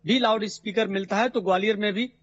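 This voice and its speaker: A man with an Indian accent giving an unscripted live news report as a field reporter, often pausing on filler sounds. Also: high voice, fairly even intonation. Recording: dull lo-fi live link audio.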